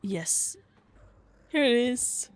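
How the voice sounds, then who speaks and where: A woman's voice: a little high, expressive, drawn-out words. A woman from Sweden chatting casually over gameplay in a gushing, rambling way, sounding a bit tired. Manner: gushing over cute things. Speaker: gaming vlogger.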